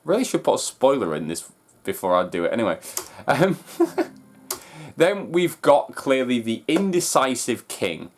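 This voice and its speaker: A man's voice: mid-range voice, big pitch swings, measured pace. A man hosting a fan reaction video, talking casually with enthusiastic, emphatic delivery. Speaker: host of a fan reaction channel. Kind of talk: excited trailer reaction and casual breakdown.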